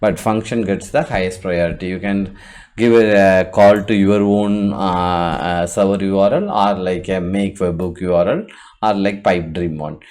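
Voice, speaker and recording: A man with a fairly low-pitched voice presents a software tutorial. He talks without a script, explaining each step as he goes. His delivery is halting, and he often doubles back to repeat a word or phrase. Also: fairly even intonation. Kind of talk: unscripted software walkthrough.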